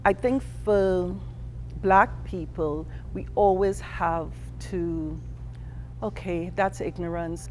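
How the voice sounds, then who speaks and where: A middle-aged woman from Trinidad and Tobago speaking candidly about her life as an immigrant, mimicking the people she quotes in lively asides. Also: lowish voice, a little hiss or hum. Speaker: interviewee. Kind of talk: personal testimony.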